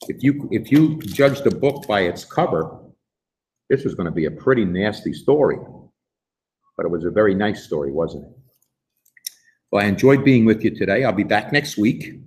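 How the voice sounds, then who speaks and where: A man reading a storybook aloud to children in an animated way, putting questions to his listeners in a gently instructive manner. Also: fairly low pitch, normal speed, long pauses. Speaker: storybook reader and host.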